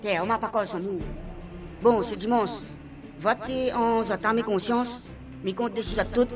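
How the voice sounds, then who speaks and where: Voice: mid-range voice, fairly even intonation, moderate pace, recorded from a distance, lightly acted. An actor playing a rustic, cheeky alien creature with a Réunion Creole accent, rambling in slang in a comic radio serial, with music underneath. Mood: earnest campaigning.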